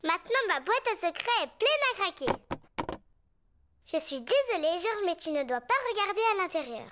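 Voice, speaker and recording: A woman voicing a cheerful, bossy little girl pig in a children's cartoon, with a lively, emphatic, childlike delivery. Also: very high-pitched, moderate pace, background noise. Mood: bossy, eager.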